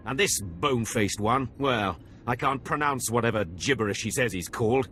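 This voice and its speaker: A man's voice: medium pitch, lively intonation, normal speed, a bit bright, a little hiss or hum, close to an everyday voice. A man acting a cruel, contemptuous overseer of slaves in a video game cutscene, with a sneering, scornful manner. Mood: sardonic. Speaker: Sith academy overseer character.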